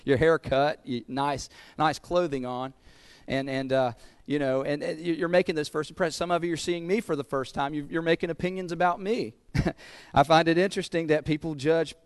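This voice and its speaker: A man's voice: fairly high pitch, fairly even intonation, normal speed, clean tone, no echo, studio quality. A man with a Southern US accent preaching a sermon, earnest, often repeating words as he builds a point. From American South. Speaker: pastor.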